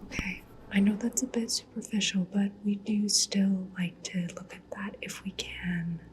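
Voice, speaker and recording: A woman playing a polite, discreet cyberpunk matchmaker in an ASMR roleplay, speaking gently and professionally in a breathy whisper. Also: medium pitch, steady tone, a little slow, slight background noise, lightly acted, rough recording. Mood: calm, businesslike. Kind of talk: soft-spoken ASMR roleplay.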